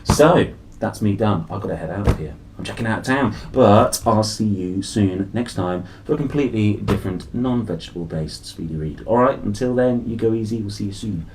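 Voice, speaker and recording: A man hosting a picture-book read-aloud, telling the story in a playful, exclamatory way with drawn-out phrases and chatty asides to children. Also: on the low side, a little mellow, a little hiss or hum. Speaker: host reading picture books aloud.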